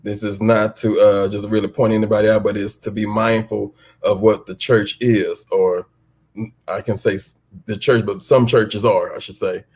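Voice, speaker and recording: A man speaking casually and candidly as an interview guest, halting and repeating himself for emphasis. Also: fairly low pitch, little pitch movement, darkish tone, breathy edge. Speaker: stage play cast guest.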